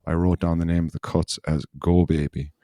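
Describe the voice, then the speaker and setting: Low-voiced man rambling on a podcast. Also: flat delivery, moderate pace, mellow, no room sound, clean studio sound. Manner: rambling, loose storytelling that circles back on itself. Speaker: podcast host. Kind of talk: casual podcast chat.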